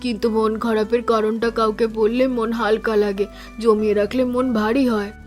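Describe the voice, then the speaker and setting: A caring older village aunt played by a woman speaks in a fairly high, tender voice, with music playing underneath. Her delivery is measured and homely, like an affectionate elder in a cartoon tale who frets over her family. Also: flat delivery, darkish tone, lightly acted. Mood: gently advising. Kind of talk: animated story dialogue.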